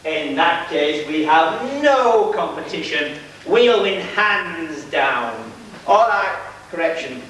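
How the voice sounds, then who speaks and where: A man's voice: on the high side, expressive, slow, fairly bright tone. A man playing a pompous, scheming villain boss in an amateur stage pantomime, with grandiloquent, theatrical delivery. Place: church pantomime stage, far camera. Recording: echoey hall from far off, faint noise.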